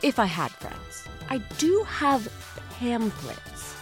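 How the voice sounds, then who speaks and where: A woman's voice: a little high, sing-song, takes it slowly, soft background music. A woman presenting a podcast in a comic scripted monologue, theatrical with awkward asides.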